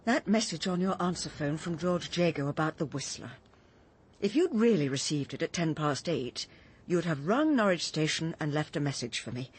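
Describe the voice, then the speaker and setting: A woman's voice: low-pitched, lively intonation, normal speed, husky. An actress playing a troubled, earnest woman who speaks hesitantly in a British radio drama. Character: troubled, earnest woman gently confronting her friend.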